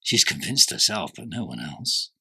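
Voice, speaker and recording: A man plays a troubled male disciple full of doubt in a sacred drama. His delivery is intense and forceful, swinging widely in pitch, with gravelly creak at the edges. He breaks his speech into short, deliberate phrases. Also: sing-song, no echo, full character voice. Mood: bitter, torn. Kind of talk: dramatic monologue of an ancient religious text.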